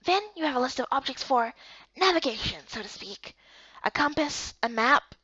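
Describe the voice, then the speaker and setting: A woman voicing a calm, matter-of-fact leader who hands out tasks in a game story. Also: sits high, moderate pace, breathy edge, no echo, full character voice. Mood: calm, instructive. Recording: dull lo-fi close recording.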